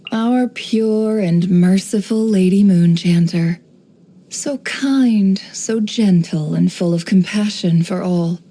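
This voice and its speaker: An actress voicing a sly, composed young woman, an intelligence broker in a video game, speaking in a measured, coolly knowing, teasing way. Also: medium pitch, mellow. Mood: sardonic. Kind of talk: video game character voice lines.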